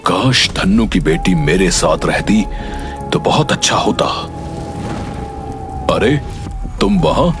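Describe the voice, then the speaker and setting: A man's voice: low voice, animated, takes it slowly, long pauses. A man voicing a powerful, scheming old sorcerer in a storybook tale, with a commanding manner. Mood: coaxing. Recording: loud music behind the voice.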